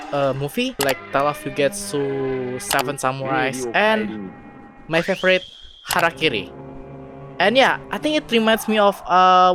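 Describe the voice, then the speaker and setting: A person giving casual, excitable reaction commentary as a video editor and host, repeating words for emphasis. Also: mid-range voice. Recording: voice over loud demo music.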